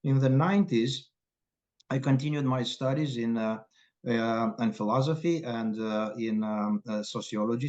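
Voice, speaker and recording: Romanian-accented man, halting, lo-fi sound. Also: mid-range voice, darkish tone. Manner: halting, many filler pauses. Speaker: guest scholar. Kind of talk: academic interview.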